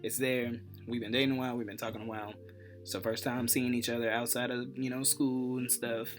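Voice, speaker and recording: Casual, animated male storyteller over music. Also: mid-range voice, fairly quick. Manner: animated retelling, acts out dialogue. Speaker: vlogger, channel host.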